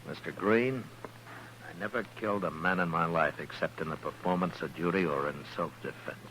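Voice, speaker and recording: Low-voiced stern frontier marshal. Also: male voice, a little fast, pushed and bright. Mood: indignant. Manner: firm, terse, authoritative. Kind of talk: 1950s radio western acting. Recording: dull, noisy old radio recording.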